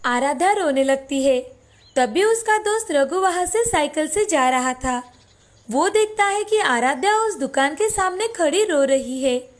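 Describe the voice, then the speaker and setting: A woman narrating a children's moral story in a steady, expressive scripted reading. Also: sits high, a little slow.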